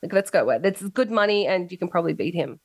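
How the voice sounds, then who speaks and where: A woman hosting a talk radio show, hoarse as she loses her voice, playful and teasing with her co-host. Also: fairly low pitch, expressive, fast, no echo. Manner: playful, teasing, laughs often. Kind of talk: casual radio chat.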